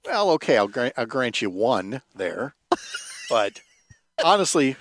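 A middle-aged or older man co-hosting a radio show in casual banter, with a halting, wry way of talking. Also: medium pitch, sing-song, fairly bright tone.

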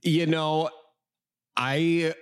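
A man in his thirties with a Wisconsin accent, hosting a podcast in a relaxed, meandering way. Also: fairly high pitch, extremely slow, clear, resonant tone. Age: 30s. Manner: relaxed, meandering, hesitant with "um" and restarts. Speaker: podcast host. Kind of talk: casual podcast interview.

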